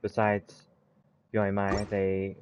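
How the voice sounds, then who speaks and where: A man with a fairly low voice gives casual commentary on a game. He thinks aloud in halting, unplanned phrases. Clear background noise and clicks come through on the recording. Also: monotone. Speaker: gaming video creator.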